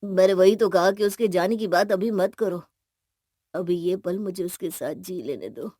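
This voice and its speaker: A woman's voice: lowish voice, moderate pace, dark tone, dry recording, natural voice. Woman as sorrowful middle-aged dad, tender and pleading, family audio drama, dry close sound. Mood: subdued, pleading. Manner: pleading, sentimental.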